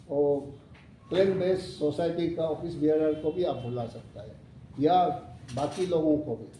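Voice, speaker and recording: A man in his seventies, chairing a meeting and addressing the committee in a halting way, pausing and correcting himself. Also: fairly high pitch, dark tone. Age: 70s. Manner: halting and unhurried, with pauses and self-corrections. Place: hotel meeting hall. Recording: echoey hall, mic far off, faint room noise.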